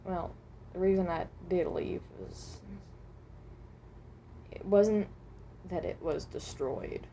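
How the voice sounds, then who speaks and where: Woman playing a halting, muted, low-voiced dimension traveler. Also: lively intonation, mellow, a little hiss or hum. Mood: uneasy, reflective. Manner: halting, hesitant, trailing off and rephrasing. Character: hesitant, mild-mannered traveler from another dimension. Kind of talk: improvised in-character voice lines.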